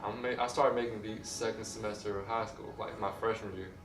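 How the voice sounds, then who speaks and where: A man in his twenties who grew up in Thailand, a music producer chatting casually in an interview, relaxed and halting with lots of fillers and restarts. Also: on the low side, far from the mic. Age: 20s. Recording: echoey room, off mic, loud music behind.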